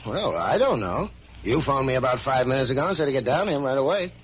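An actor playing a flustered, conscientious hospital dispensary clerk in an old radio drama, anxious and folksy. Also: male voice, medium pitch, very animated, fast talker, natural voice. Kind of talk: 1940s radio drama acting. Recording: muffled, noisy old radio recording.

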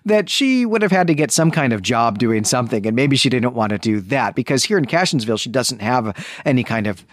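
A man's voice: mid-range voice, animated, brisk, dry recording. A man co-hosting a conversational literary discussion, reasoning aloud and often checking his points with a questioning tag. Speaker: podcast co-host.

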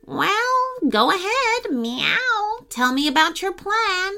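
Woman playing a talking cat, young female, eager, squeaky, meowing, very high. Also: sing-song, slow pace, creaky, heavily acted. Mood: curious. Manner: bright, squeaky, tags words with meows. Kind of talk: storybook reading.

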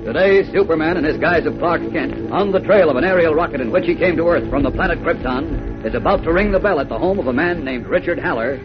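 High-pitched dramatic old-time radio announcer, a man. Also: lively intonation, quick pace, muffled recording. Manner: dramatic, urgent, emphatic delivery. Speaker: announcer and story narrator. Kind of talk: 1940s radio serial narration. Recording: old radio recording with loud music.

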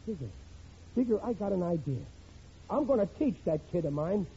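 A man's voice: sits high, sing-song, moderate pace, dark tone. An actor playing a hapless, self-pitying middle-aged working-class father in a 1940s radio comedy, grumbling plaintively in ungrammatical speech. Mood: scheming, defiant. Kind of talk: 1940s radio sitcom acting. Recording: muffled vintage radio recording, faint noise.

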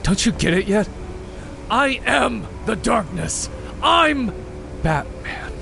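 A man playing a grim, vengeful masked vigilante in a superhero audio drama, speaking in a menacing, deliberate, clipped way. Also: high-pitched, sing-song, gravelly, sharp tone, faint music behind, heavily acted. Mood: intense, menacing.

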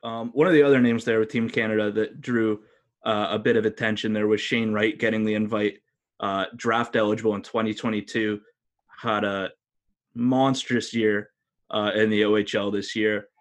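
A man's voice: on the low side, little pitch movement, moderate pace. A man hosting a sports podcast in a casual, conversational style. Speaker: hockey podcast host.

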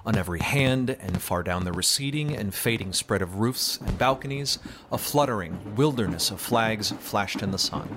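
A man reading a literary story as narrator, in a dramatic audiobook style. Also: mid-range voice. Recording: music behind the voice. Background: background music.

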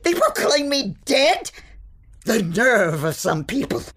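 A woman's voice: a little high, sing-song, slow, fairly bright tone, breathy edge, exaggerated voice. A woman playing an eccentric older witch, a grandmother in a magical realm, with a theatrical, muttering, exasperated manner in a fantasy audio drama. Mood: indignant, exasperated. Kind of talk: audio fiction fantasy acting.

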